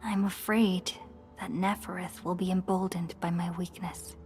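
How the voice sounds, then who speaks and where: An actress voicing a vulnerable, self-doubting amnesiac leader in a video game, speaking in a hushed, hesitant way. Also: female voice, on the low side, flat delivery, normal speed, soft background music, close to an everyday voice. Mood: anxious, confiding. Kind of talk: dramatic video game dialogue.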